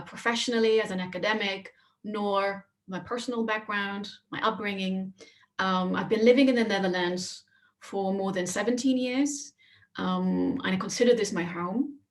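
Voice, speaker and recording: A woman in her thirties from Austria, speaking softly and carefully on a panel with many fillers. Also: medium pitch, moderate pace. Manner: soft-spoken, careful, with fillers. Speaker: panelist, academic. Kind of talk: panel talk.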